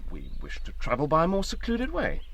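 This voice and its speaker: A man's voice: on the high side, wildly swooping pitch, brisk, vocal fry, a bit bright, exaggerated voice. A man playing a stiff, commanding army major in a radio drama, formal and officious. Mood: formal, composed.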